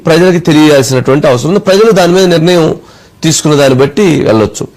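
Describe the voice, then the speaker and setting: A man giving political commentary in a deliberate, emphatic way, putting rhetorical questions. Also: on the high side, crowd noise.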